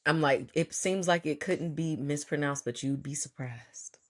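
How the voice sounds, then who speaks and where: A man's voice: a little high, unhurried. A man hosting a podcast, chatting casually and laughing at himself. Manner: chatty, self-deprecating, laughs a lot. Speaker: podcast host. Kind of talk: casual interview chat.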